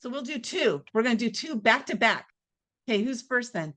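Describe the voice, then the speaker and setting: A woman hosting an online networking event, brisk, upbeat and chatty as she gives tips and runs the open mic. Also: on the high side, sing-song, fairly bright tone. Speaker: event host. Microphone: video call.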